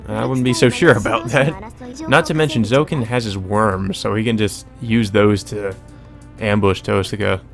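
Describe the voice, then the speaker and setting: A man's voice: fairly low pitch, steady tone, unhurried, vocal fry, music in the background. A man reading a visual novel aloud as a let's play host, with informal commentary.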